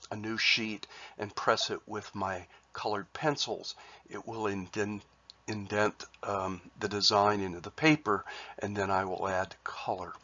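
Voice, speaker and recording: A man with a cold, sounding congested, presenting his artwork in a halting way with many fillers and restarts. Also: fairly low pitch, bright, forceful tone, highs cut off. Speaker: artist sharing his work. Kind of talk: casual spoken presentation.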